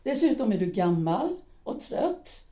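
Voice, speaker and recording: A woman's voice: medium pitch, sing-song, dark tone, natural voice. A woman playing an embittered middle-aged wife and former actress in an audio drama, talking with dry, cutting sarcasm. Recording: roomy room sound.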